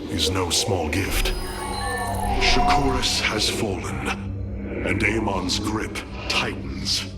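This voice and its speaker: Solemn alien warrior leader, music behind. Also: male voice, fairly low pitch, expressive, slow pace. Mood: grave resolve. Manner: formal, solemn, commanding. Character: noble, resolute male alien Protoss leader. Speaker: video game character voice. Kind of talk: dramatic scripted game cinematic dialogue. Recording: loud cinematic music behind.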